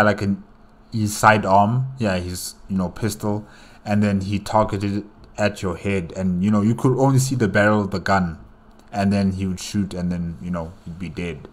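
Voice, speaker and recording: Male reaction host, deep register, slow and rambling delivery, casual commentary on a game movie. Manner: rambling, hesitant, thinking aloud.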